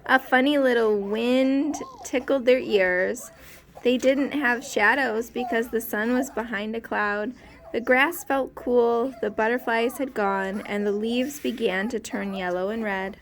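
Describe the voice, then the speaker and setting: A woman teacher reading a storybook aloud to young children, expressive and pausing to ask questions. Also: fairly high pitch.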